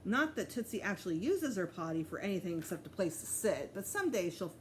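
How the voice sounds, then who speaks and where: Expressive woman reading a storybook aloud. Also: mid-range voice, fast, a little hiss or hum. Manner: expressive, acts out dialogue. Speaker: teacher reading a children's book aloud. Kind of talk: storybook read-aloud with character voices.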